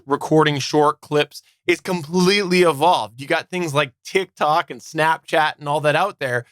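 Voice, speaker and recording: Bright, clear higher-voiced man hosting. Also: no echo. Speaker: podcast host. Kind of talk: casual podcast discussion.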